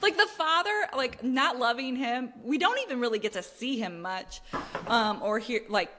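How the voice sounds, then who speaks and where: A woman's voice: on the high side, a bit bright, in a large hall. A woman co-hosting a podcast, chatting casually and informally about a book, peppering her sentences with "like". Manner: chatty and informal, heavy use of "like". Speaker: podcast co-host. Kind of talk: casual book discussion chat.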